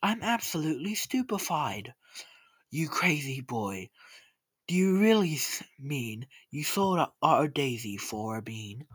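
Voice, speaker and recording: A man voicing a sharp-tongued, short-tempered mother who scolds her boy emphatically in a storybook rhyme. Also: medium pitch, expressive, measured pace, slightly rough, dry recording. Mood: outraged.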